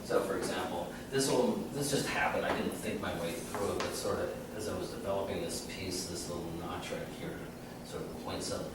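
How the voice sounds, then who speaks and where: A middle-aged man giving an informal artist talk in a small gallery, chatty and animated, joking and restarting sentences. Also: deep voice, brisk. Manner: chatty and animated, gestures a lot, jokes and restarts. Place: small art gallery, indoors. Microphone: far camera. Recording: echoey room from far off, noisy background.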